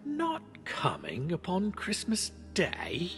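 An actor playing a tender, wistful poor father in a storybook reading, hushed, halting and tremulous. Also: sits high, huge pitch swings, faint music behind, heavily acted. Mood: crestfallen.

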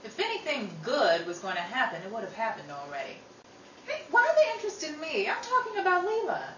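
A woman playing an outspoken, quick-tempered young working sister in an old-time radio style drama, brisk and dramatic. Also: sits high, wildly swooping pitch, a bit bright, full character voice. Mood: wry, chatty. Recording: roomy, distant mic, noisy.

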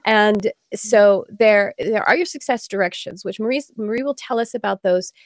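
A woman's voice: medium pitch, moderate pace, no room sound. A woman hosting a podcast in a casual, enthusiastic conversational style. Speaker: podcast host. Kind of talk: casual enthusiastic interview chat.

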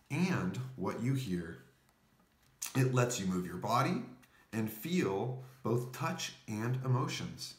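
Man reading aloud with lively, swooping pitch. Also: echoey room. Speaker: storybook reader. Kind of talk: children's picture book read-aloud.

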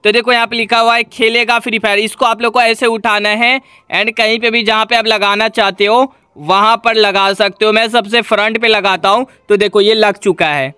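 A man's voice: sits high, steady tone, moderate pace, hardly any pauses, bright, forceful tone, smooth, no echo. A man hosting a gaming tutorial in voice-over, addressing viewers directly in an instructional, repetitive way. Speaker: gaming channel host.

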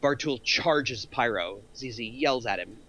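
A man's voice: medium pitch, animated, a little slow, pushed and bright, slight background noise. A middle-aged man reading out screenplay action lines as a narrator. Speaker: narrator of a script table read.

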